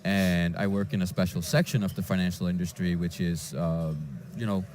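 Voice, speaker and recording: A man from Denmark, a database developer answering interview questions in a conference lounge, with frequent halting restarts. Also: deep voice, flat delivery, darkish tone. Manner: hesitant, with many restarts and fillers.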